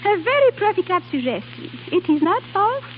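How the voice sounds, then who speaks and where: An actress playing a proud, secretly heartsick young French cabaret singer in 1930s radio drama, with formal, halting phrasing. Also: female voice, extremely high pitch, big pitch swings, a little hiss or hum. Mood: bitter, wounded. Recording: tinny old radio broadcast, music.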